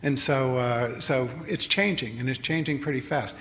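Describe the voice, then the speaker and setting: An older man giving a public lecture, talking in a halting way with many fillers and restarts. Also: medium pitch, fairly bright tone. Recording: long hall reverb, faint noise.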